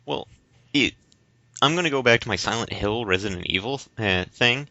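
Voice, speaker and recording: A man co-hosting a casual discussion podcast, explaining things in a halting, rambling way. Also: medium pitch, bright, forceful tone, no room sound. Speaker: podcast co-host. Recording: dull, lo-fi voice recording.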